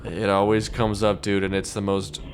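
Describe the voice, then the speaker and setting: A man's voice: on the low side, monotone, moderate pace, noisy background. A man giving casual, informal reaction commentary as a video host, often sounding puzzled and repeating his questions.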